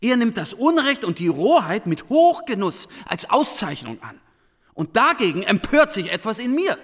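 An intense, high-voiced brooding schoolteacher. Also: male voice, big pitch swings, moderate pace, a bit bright, long reverb tail, heavily acted. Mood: agitated. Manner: reflective, questioning, intense. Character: brooding, earnest male schoolteacher, fascinated and indignant. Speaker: actor in a radio play. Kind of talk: German radio drama dialogue.

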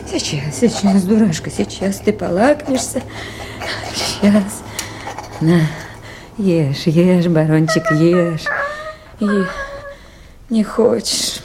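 A woman's voice: mid-range voice, animated, slow, pauses often. An actress playing a lone woman at a river station in a Soviet radio play, speaking tenderly and with brave unease, as if to a dog and to herself. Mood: comforting, anxious. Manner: talking soothingly to a dog and to herself. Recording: noisy 1980s radio play, outdoor water ambience.

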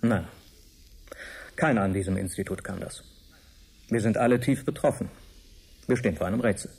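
A man's voice: fairly low pitch, normal speed, darkish tone, slightly rough. A man acting in a radio drama as an evasive institute director and professor who speaks formally and curtly. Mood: guarded. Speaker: actor.

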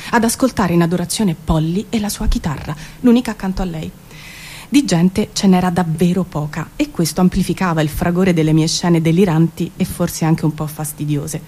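Mellow woman reading poetic prose. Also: lowish voice. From Italy. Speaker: writer-performer reading her own prose. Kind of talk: scripted literary reading, poetic monologue.

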